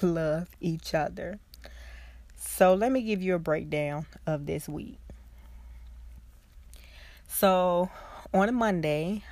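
A young woman hosts her podcast alone, her nose stuffy and her voice congested from a cold. She speaks slowly and earnestly in a fairly low voice. The sound is dry and close. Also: darkish tone, dry recording. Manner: earnest, heartfelt, slow and reflective. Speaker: podcast host, social worker. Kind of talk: casual solo podcast talk.

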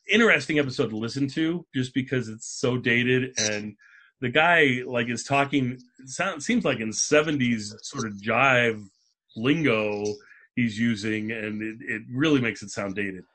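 Husky-voiced man hosting a casual podcast. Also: medium pitch, bright, forceful tone. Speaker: podcast host.

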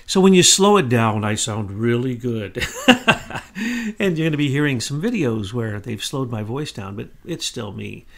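A middle-aged man co-hosting a political commentary talk, talking fast and insistently. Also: mid-range voice, sing-song, creaky, fairly bright tone. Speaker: co-host.

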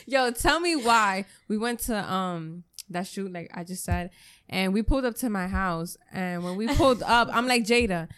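A woman's voice: mid-range voice, expressive, measured pace, fairly bright tone. A young woman co-hosting a casual chat, joking and laughing as she tells stories. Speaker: podcast co-host.

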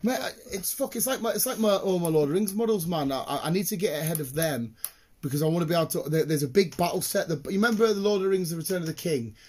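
Excitable, slightly croaky British man. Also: a little high, fast. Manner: excitable, rambling, sweary. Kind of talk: casual chat, rambling anecdotes.

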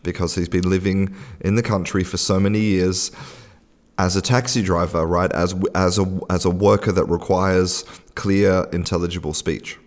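A man's voice: low-pitched, steady tone, normal speed, long reverb tail. A man hosting a podcast, telling a casual story with lots of restarts and fillers. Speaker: podcast host. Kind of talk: casual spoken podcast monologue.

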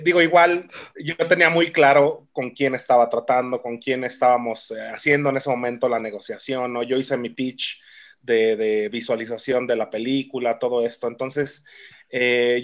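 Mexican man, easygoing rambling talk. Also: medium pitch. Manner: relaxed, colloquial, many fillers and restarts. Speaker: film director. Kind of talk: casual interview.

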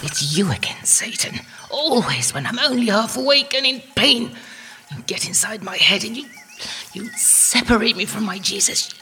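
An actress playing an old, devout, lonely but feisty medieval anchoress in an audio drama, creaky-voiced and muttering to herself. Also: female voice, medium pitch, rough recording. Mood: fierce, defiant. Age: older. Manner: talking to herself, muttering and exclaiming.

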